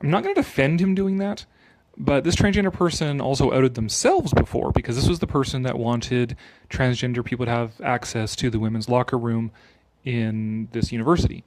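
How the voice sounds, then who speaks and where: A man doing a dramatic reading with off-the-cuff commentary, animated and sarcastic in his manner. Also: medium pitch, brisk, slight background noise, low-quality recording.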